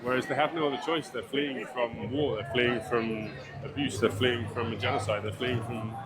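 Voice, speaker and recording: A man's voice: medium pitch, steady tone, moderate pace, over loud music, rough recording. A young man at an outdoor protest answering interview questions hesitantly, stumbling over his words.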